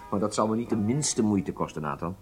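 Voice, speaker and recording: A man's voice: on the low side, animated, moderate pace, faint music behind, close to an everyday voice. An actor playing a charming, self-assured agent in his late thirties, speaking with casual nonchalance in a radio drama. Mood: confident, easygoing. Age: 30s.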